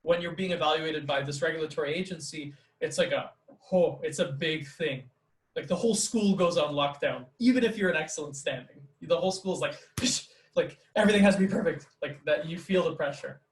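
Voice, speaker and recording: A man talking casually with a friend on a podcast, his speech loose and rambling and full of "like." His voice sits a little higher than most men's, and the room gives it a noticeable echo. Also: fairly quick. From Canada. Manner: rambling, many fillers like "like". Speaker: podcast host, teacher. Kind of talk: casual conversation.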